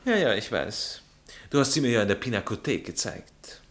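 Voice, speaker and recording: A man's voice: on the low side, big pitch swings, moderate pace, fairly bright tone. A man playing a snobbish, narrow-minded baron in a satirical comedy, speaking with an Austrian accent and a condescending, colloquial drawl. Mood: amused disdain. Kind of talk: satirical stage comedy acting.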